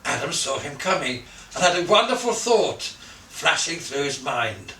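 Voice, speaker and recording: Animated, high-pitched man telling a children's story. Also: normal speed, a little hiss or hum. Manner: theatrical, savours lists, dramatic phrasing with soft endings. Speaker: storyteller reading own Christmas story. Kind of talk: expressive scripted children's story narration. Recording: roomy echo, distant from the mic.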